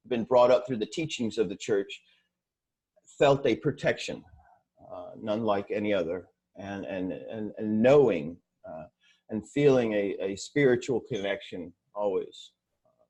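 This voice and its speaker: A man in his forties, born in Sweden, speaking as an interview guest in a casual, halting way with many fillers and restarts. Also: on the low side, darkish tone, breathy edge. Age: 40s.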